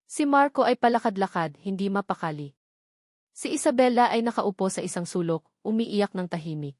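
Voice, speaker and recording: A woman narrates a dramatic tale in a medium-pitched voice. Her delivery is measured and made for storytelling. The recording is dry and free of room echo. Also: lively intonation, darkish tone.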